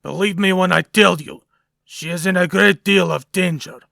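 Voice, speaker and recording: A man acting as a menacing, unhinged comic villain with a bright, sharp edge and heavy vocal fry. He taunts slyly, with a mock-wistful air over deliberate threats. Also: high voice, fairly even intonation, no echo, clean studio sound, heavily acted. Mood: threatening. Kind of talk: comic book dub acting.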